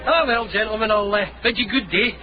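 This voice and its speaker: A man's voice: high voice, brisk, natural voice. A man acting a slippery, over-polite pickpocket in a radio drama, ingratiating and shifty. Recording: dull, noisy radio drama recording.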